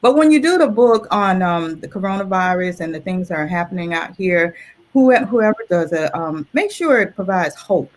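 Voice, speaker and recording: A woman's voice: fairly low pitch, lively intonation, normal speed. A woman, an artist and illustrator, talking casually as an interview guest.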